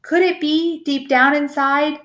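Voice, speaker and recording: A woman reading a rhyming picture book aloud in an expressive storytelling style. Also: high-pitched, unhurried. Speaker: storybook reader.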